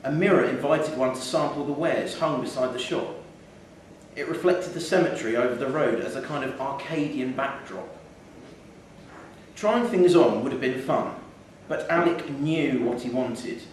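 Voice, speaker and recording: A man's voice: mid-range voice, moderate pace. A man reading a literary short story aloud at a live event in a theatre. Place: theatre venue, indoors. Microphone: distant from speaker. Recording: very echoey, distant mic, faint noise.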